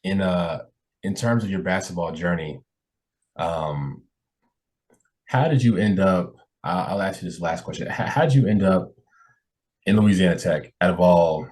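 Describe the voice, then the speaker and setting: Man, low voice, podcast host, casual conversational questions, encouraging manner. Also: lively intonation, a little slow, long pauses, darkish tone.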